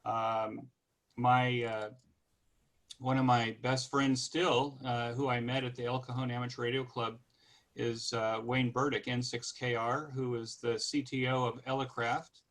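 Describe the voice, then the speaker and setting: American man in his sixties, even-pitched. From California, United States. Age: 60s. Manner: rambling, halting, conversational. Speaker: guest presenter. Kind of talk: informal online club presentation.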